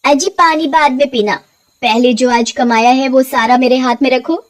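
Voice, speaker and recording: A haughty cartoon village wife, played by a woman, high in pitch. She is greedy and nags in a demanding, scolding way. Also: fairly even intonation, normal speed, natural voice.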